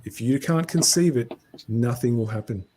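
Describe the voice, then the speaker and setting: A man's voice: mid-range voice, normal speed, warm tone, a little hiss or hum. A man on a live stream, answering viewer comments in a lecturing way.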